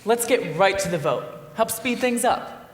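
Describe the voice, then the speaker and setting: A man's voice: high voice, expressive, unhurried. A man playing an impatient, brusque jury leader with a sarcastic manner in a stage play. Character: impatient, dismissive jury leader eager to leave. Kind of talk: live stage play acting. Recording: echoey hall stage recording.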